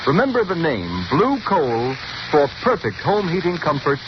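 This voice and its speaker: A man's voice: on the high side, wildly swooping pitch, moderate pace. A man as radio announcer, reading a commercial and introduction in an emphatic, persuasive 1930s style. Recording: dull old radio broadcast with hiss.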